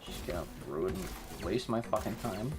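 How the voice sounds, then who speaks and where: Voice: medium pitch, expressive, normal speed, music in the background. A streamer with an androgynous-sounding voice, chatting casually during a live game in a slangy, sweary, self-mocking way.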